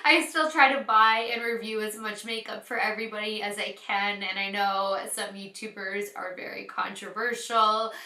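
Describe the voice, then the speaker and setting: A woman's voice: medium pitch, steady tone, normal speed, hardly any pauses, sharp tone. A woman beauty vlogger with a congested, nasal head-cold voice, chatting casually to her viewers in an apologetic, explanatory way. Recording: roomy, echoey sound.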